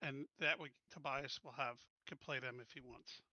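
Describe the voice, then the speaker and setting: A man's voice: mid-range voice, steady tone, moderate pace, bright, forceful tone, no room sound, highs cut off. A man chatting casually as a player in a tabletop roleplaying game.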